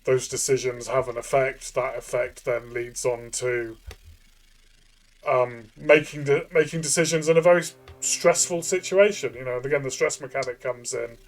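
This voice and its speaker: Mid-pitched man, halting conversational reviewer. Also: steady tone, faint music behind, poor audio. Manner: halting, repeats phrases while thinking aloud. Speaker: game reviewer and co-host. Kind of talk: casual review chat.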